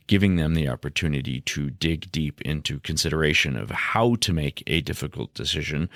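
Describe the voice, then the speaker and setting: A man with an extremely low-pitched voice speaks as a podcast host. He explains ideas in measured phrases, as if working from notes. The sound is close and dry, with very little room on it. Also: fairly bright tone, dry recording. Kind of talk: solo educational podcast talk, partly scripted.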